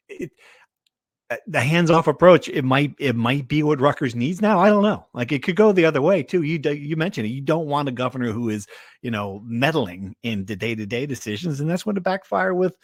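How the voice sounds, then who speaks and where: A man's voice: mid-range voice, dry recording, studio quality. A man hosting a sports podcast in casual talk, with a conversational, rambling delivery.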